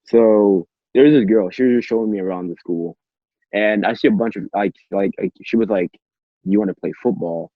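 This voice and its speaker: A teenage guy from Cameroon whose first language is French, with a husky, fairly low voice. He chats haltingly, repeating himself as he tells anecdotes. The recording is dry and slightly dull. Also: expressive, dry recording. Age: teens. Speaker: podcast guest. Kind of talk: casual chat, anecdotes.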